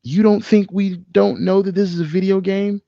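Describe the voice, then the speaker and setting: A man co-hosting a casual podcast, speaking in an opinionated, emphatic way with swearing and halting pauses. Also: sits high, fairly even intonation, creaky, mellow, dry recording, dull audio. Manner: profane, emphatic, halting with pauses mid-thought. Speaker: podcast co-host. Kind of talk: casual film discussion, opinionated ranting.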